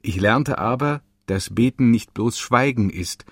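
A man solemnly reading quoted texts in a slow, earnest way. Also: medium pitch, dry recording, clean studio sound. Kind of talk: solemn scripted recitation.

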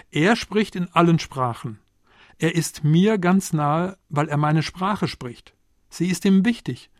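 A man preaching a measured, earnest Pentecost sermon, asking rhetorical questions as he goes. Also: on the high side, no room sound, clean studio sound. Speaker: preacher, theology lecturer.

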